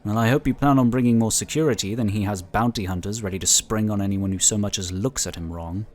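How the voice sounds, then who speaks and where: Man playing a low-voiced, measured inquisitor. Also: lightly acted. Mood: concerned, cautioning. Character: measured, wry male inquisitor, cautious and protective. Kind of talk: sci-fi audio drama acting.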